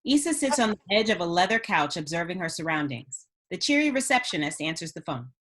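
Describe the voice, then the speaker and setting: A woman with a fairly low voice reads screenplay action lines. She works as the narrator, setting each scene in scripted narration. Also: very animated, brisk, fairly bright tone. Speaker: narrator reading stage directions.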